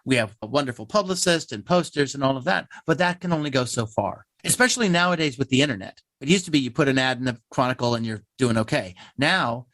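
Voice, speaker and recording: A man's voice: mid-range voice, expressive, fast, few pauses, a bit bright, no room sound. An American man, a theater playwright, answering interview questions in an earnest, emphatic, talkative conversational way.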